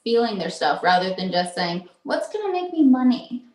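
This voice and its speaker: A woman's voice: fairly high pitch, very animated, moderate pace, darkish tone. Young woman co-host in an echoey room. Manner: encouraging, says "like" often. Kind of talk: casual video call interview. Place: home room. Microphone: video call.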